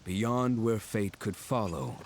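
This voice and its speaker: A man acting as the grave, deliberate narrator of an epic game prologue, with loud music under his voice. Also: lowish voice, expressive, slight background noise. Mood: solemn. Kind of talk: scripted cinematic narration.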